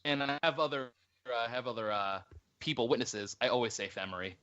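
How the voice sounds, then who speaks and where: A man's voice: medium pitch, brisk, pushed and bright, highs cut off. A man in casual group podcast banter, teasing and often interjecting over a voice call.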